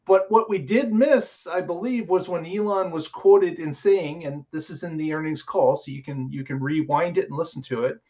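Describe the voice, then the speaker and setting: A man's voice: a little high, normal speed, few pauses, a little mellow, lo-fi sound. A man hosting a casual podcast, talking through the week's news with many filler words. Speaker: podcast host.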